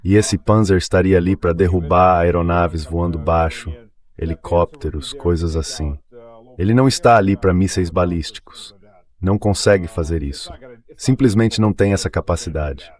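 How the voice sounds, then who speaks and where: A man's voice: low-pitched, normal speed, darkish tone. A man voicing a dubbed translation of an interview guest, explaining things in a conversational, anecdotal way.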